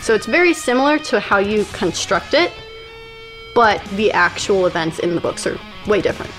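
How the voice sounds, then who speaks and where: A woman speaking as a guest in a casual chat, with a calm, even, monotone way of talking. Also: medium pitch, normal speed, shouting, soft background music. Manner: calm, self-described monotone delivery.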